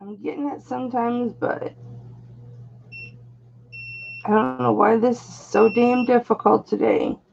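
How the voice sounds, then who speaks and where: Hoarse, gravelly woman vlogger. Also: on the high side, fairly even intonation, moderate pace, darkish tone, dull audio, slight background noise. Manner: chatty, exclaims when frustrated. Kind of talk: casual chat while testing stones.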